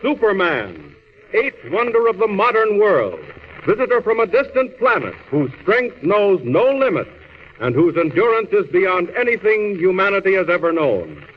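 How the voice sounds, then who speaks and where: A man's voice: high voice, big pitch swings, normal speed. A man narrating a superhero radio serial in a grand, declamatory 1930s style. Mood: grand, dramatic. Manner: theatrical, declamatory, crisp phrasing. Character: grand, urgent male storyteller of a superhero serial. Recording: dull, hissy old radio recording.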